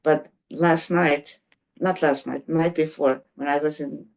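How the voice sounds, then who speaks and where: An older woman taking part in a writing group, chatting casually in a hesitant, self-deprecating way with halting restarts. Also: low-pitched, fairly even intonation. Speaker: workshop participant.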